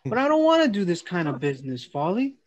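A man's voice: high voice, huge pitch swings, quick pace. A man doing a dramatic amateur script reading as an exasperated, hot-headed plumber, over a video call. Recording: dull video-call audio.

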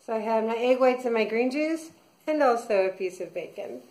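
A woman's voice: on the high side, expressive, normal speed, darkish tone. A woman in her thirties recording a casual video diary at home, rambling with many fillers and restarts. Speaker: vlogger. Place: small room at home, indoors. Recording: echoey room.

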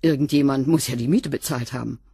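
A woman's voice: extremely low pitch, fast, dark tone, breathy edge, no echo, full character voice. A blunt, wry cleaning woman. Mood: matter-of-fact. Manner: dry, matter-of-fact answers. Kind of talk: radio play acting.

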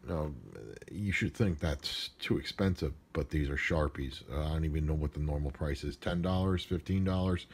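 A man's voice: a bass voice, steady tone, normal speed, hardly any pauses, husky. A man giving casual off-the-cuff unboxing commentary in a grumbling, sarcastic way, recorded on a handheld phone. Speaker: unboxing vlogger. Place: indoors at a wooden desk. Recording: noisy handheld recording with handling clicks.

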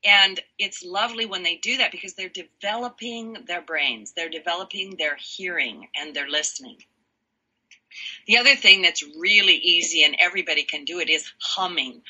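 Interview guest, woman, low husky voice with a bright, tense edge, slow emphatic delivery. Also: highs cut off. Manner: animated, laughs often, slow emphatic phrasing. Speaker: guest, sound healer. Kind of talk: warm expressive interview talk.

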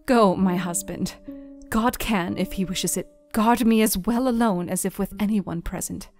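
A man doing a high, pleading young wife. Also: a little mellow, soft background music. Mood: calm resolve. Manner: high falsetto, slow and pleading. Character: young wife, frightened but brave, refined.

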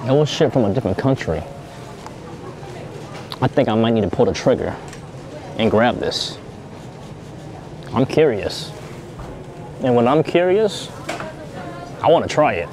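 A man chatting casually to his viewers as he shops in a grocery store, thinking out loud and repeating himself. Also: medium pitch, noisy background. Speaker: vlogger. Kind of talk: casual vlog chat.

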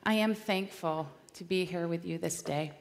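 A middle-aged woman from Michigan giving an animated, storytelling chapel talk on a large stage. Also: lowish voice, moderate pace. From Michigan, USA. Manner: animated, gesturing while walking the stage. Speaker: chapel speaker. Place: large dark auditorium stage. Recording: long hall reverb.

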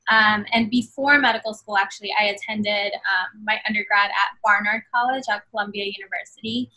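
A woman's voice: medium pitch, fairly even intonation, a little fast, pushed and bright, rough recording. A woman in her twenties, born in Bangladesh and raised in New York City, talking earnestly as a guest over a video call, with many fillers. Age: 20s. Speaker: guest, medical student. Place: small room at home. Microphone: video call webcam.

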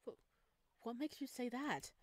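A woman voicing a shy, self-doubting young male monster in a visual novel, gentle and hesitant. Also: a little high, big pitch swings, measured pace, dry recording, pitched above the performer's own voice. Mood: flustered. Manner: hesitant, stammering. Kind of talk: visual novel character reading.

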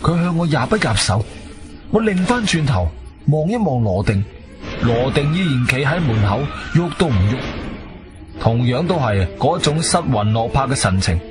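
A man acting as an intrepid adventurer, telling his story in expressive, suspenseful Cantonese radio-drama style. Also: medium pitch, normal speed, close to an everyday voice. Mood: ominous. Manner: expressive, suspenseful, colloquial delivery. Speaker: narrator and lead actor. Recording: background music under the voice.

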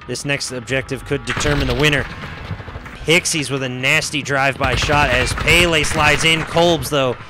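A young man with a hoarse voice calls an esports match, his lines climbing in excitement as the plays unfold. He talks into a headset at home, with game music playing underneath. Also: mid-range voice. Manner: excited, rising commentary calls. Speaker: esports caster. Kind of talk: esports play-by-play commentary. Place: home streaming setup, indoors.

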